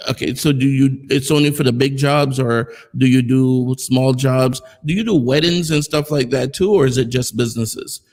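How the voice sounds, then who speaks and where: A man's voice: mid-range voice, normal speed, hardly any pauses, a little mellow. A man hosting an interview, asking rambling casual questions full of fillers. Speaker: interviewer, host.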